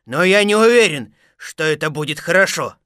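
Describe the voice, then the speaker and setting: A woman's voice: low-pitched, animated, fairly bright tone, no room sound, studio quality, pitched below the performer's own voice. A woman voicing a good-natured, muddled male teddy bear in a storybook, talking hesitantly. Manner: slow, hesitant, puzzled talk.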